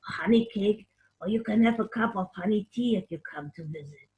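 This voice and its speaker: A woman's voice: medium pitch, moderate pace, dark tone, lightly acted, rough recording. A woman voicing a chatty, eager, honey-loving bear puppet in a homely way for a children's story time.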